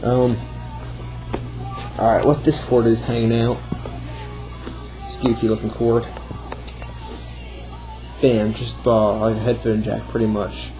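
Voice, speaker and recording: A man's voice: mid-range voice, flat delivery, a little slow, long pauses, music in the background, poor audio. A man giving an informal, rambling, self-deprecating walkthrough of something he built, off the cuff. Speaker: hobbyist builder showing a homemade project. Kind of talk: casual off-the-cuff demo narration.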